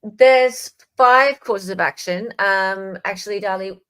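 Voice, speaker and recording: A woman's voice: mid-range voice, slow, fairly bright tone, clean tone. A woman hosting a livestream in a casual, conversational way. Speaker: livestream host. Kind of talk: casual chat.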